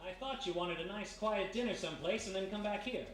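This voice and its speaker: A woman's voice: medium pitch, brisk, natural voice. A woman playing a wry, slangy, wisecracking male private detective in old-time radio mystery style. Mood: teasing, affectionate. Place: live performance in a roomy historic house. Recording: echoey live stage, distant mic, hiss.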